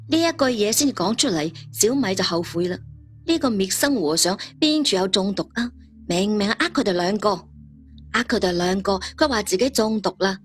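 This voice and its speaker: A woman, narrating a story dramatically as a storyteller. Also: medium pitch.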